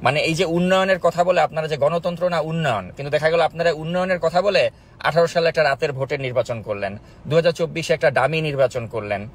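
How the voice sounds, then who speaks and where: A man's voice: fairly high pitch, rapid-fire. A man with a Bangladeshi accent interviewing as a journalist, persistent and challenging, on a recorded call. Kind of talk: confrontational political interview. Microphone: recorded phone call. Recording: faint noise on recorded call.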